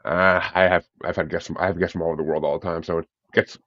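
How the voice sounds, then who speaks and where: A man's voice: low voice, flat delivery, quick pace, fairly bright tone, no echo, dull audio. A man hosting a casual interview show, talking in an informal, self-deprecating, rambling way. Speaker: podcast host.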